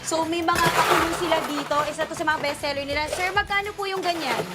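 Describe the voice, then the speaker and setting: A woman's voice: very high voice, steady tone, quick pace. A bubbly, chatty woman with a Filipino accent hosting a live morning show segment on location. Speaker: morning show field host. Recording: clear music behind the voice.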